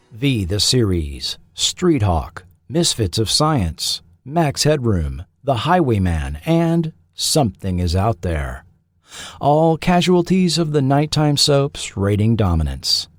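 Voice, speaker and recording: A man's voice: mid-range voice, dry recording, clean studio sound. A man narrating a scripted documentary as its host.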